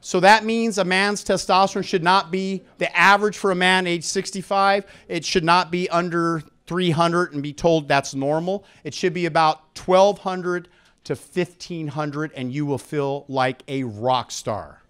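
A middle-aged man speaking as a presenter at an expo, pitching to a live audience in an animated way on a handheld microphone in a conference room. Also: unhurried, clear, resonant tone. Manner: animated, engages audience with questions and prompts. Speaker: expo speaker and product promoter. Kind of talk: promotional seminar talk to a live audience.

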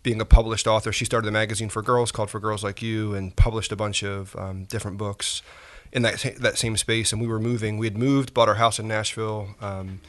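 A pastor in his forties, a man telling his story softly in a reflective interview, pausing often with fillers. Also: lowish voice, flat delivery. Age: 40s. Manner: soft-spoken, halting with frequent fillers. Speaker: pastor and podcast guest.

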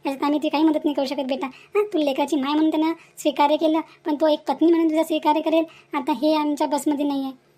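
A woman's voice: high-pitched, little pitch movement, rapid-fire, warm tone, lightly acted. A woman voicing a pleading, scolding motherly village neighbour in a cartoon drama, insistent and repetitive, with a rural Maharashtrian Vidarbha accent. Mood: firm, regretful. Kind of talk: Marathi cartoon moral drama dialogue.

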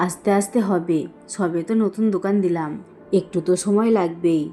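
A woman's voice: lowish voice, steady tone, fairly quick, dark tone, faint music behind, lightly acted. A woman voicing a humble, loving, poor shopkeeper father in a cartoon, with an earnest, self-blaming manner. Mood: affectionate. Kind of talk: Bengali cartoon voice acting.

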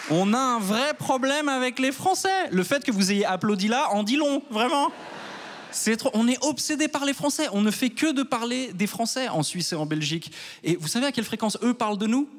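A man in his thirties from Switzerland doing a stand-up set on a large theater stage with a handheld mic. He talks in a wry, self-mocking way and works up to loud mock outrage. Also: very high voice, animated, a little fast, a little hiss or hum. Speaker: stand-up comedian. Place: large theater stage before a live audience.

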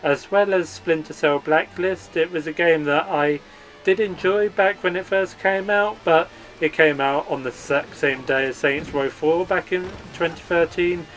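A man's voice: on the high side, a little slow, a bit bright, faint music behind. A man hosting a gaming channel, giving an earnest, upbeat channel update read as a voice-over.

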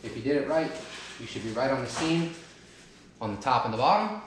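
A man's voice: medium pitch, expressive, brisk. Practical DIY host, man, 30s, talking through each step as he works; roomy, echoing home recording with noise behind. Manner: practical step-by-step, talks while kneeling and lifting. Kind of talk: casual how-to instruction while working. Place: large carpeted family room at home. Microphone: camera mic from a distance. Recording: echoey room, some noise.

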